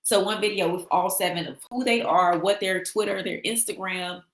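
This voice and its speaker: A woman with a slightly raspy, travel-worn voice hosts a casual livestream and chats with viewers. She talks in an animated way and reads their comments aloud. The sound is echoey, as if recorded in a bare room. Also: medium pitch, normal speed. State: losing her voice from travel. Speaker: livestream host. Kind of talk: casual livestream sign-off chat.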